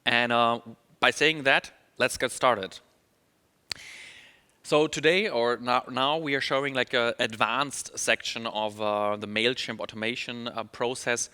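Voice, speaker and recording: A man's voice: mid-range voice, steady tone, measured pace, pushed and bright, clean tone, big-room sound. A man from Germany with a German accent gives an upbeat online conference talk as an email marketing trainer, using many fillers and restarts.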